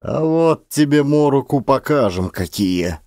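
A male reader acts a scolding village elder in an audio play. He is officious and accusing, with animated, swooping intonation in a dry recording. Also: mid-range voice, measured pace, no room sound. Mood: angry. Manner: loud, accusing. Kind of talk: one-man audio play.

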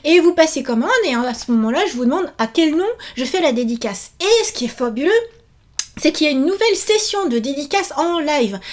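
A woman in her thirties chatting casually to camera in an animated, exclamatory and enthusiastic manner. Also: high-pitched, fairly quick. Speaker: author and YouTuber promoting her book. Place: small indoor room set up for filming.

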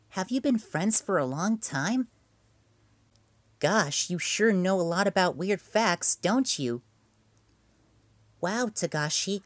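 A man voicing a sleepy, gentle schoolgirl with a soft, airy way of talking. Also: sits high, no room sound, exaggerated voice.

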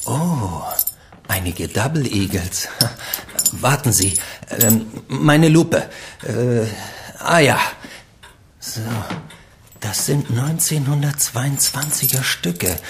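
An actor playing a courteous, slick coin dealer in a radio play. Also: male voice, medium pitch, lively intonation, slow pace, pauses often, a little hiss or hum. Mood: smooth.

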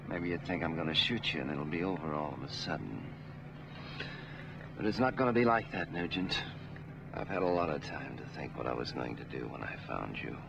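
A deep-voiced American crime boss from a 1940s noir, cold and bent on revenge. He speaks with deliberate menace on a dull old film soundtrack. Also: lively intonation, vocal fry, background noise, full character voice. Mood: menacing. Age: middle-aged. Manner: deliberate, threatening. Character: cold, vengeful, menacing middle-aged gangster.

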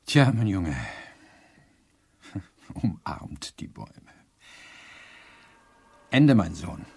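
A man's voice: low voice, very animated, drawn-out words, creaky, darkish tone, breathy edge, heavily acted. An actor playing a cynical older father in a radio play, talking with sardonic mockery. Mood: bitterly sardonic. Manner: sardonic, mocking. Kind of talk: German radio play dialogue.

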